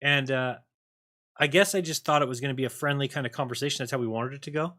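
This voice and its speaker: Bright-voiced male call-in host. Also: mid-range voice, fast. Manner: animated, joking, sarcastic asides. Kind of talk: casual call-in chat.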